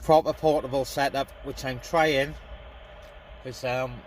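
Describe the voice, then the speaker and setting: A man's voice: medium pitch, fairly even intonation, a little slow. A man with a British accent giving casual, informal running commentary while setting up radio gear. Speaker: CB radio hobbyist vlogger. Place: on a windy hilltop by a vehicle. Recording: windy, noisy handheld outdoor recording. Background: wind and radio noise.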